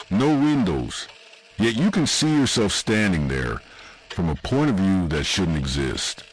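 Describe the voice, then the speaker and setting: A man reading scripted horror narration in an ominous, deliberate way, with short dramatic phrases and pauses. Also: low voice, slight background noise, rough recording. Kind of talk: scripted second-person analog horror narration.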